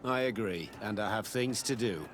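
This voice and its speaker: An actor playing a curt, evasive military captain who runs a training camp in a video game. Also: male voice, on the low side, normal speed, background noise, lightly acted. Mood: dismissive. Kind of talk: video game cutscene acting.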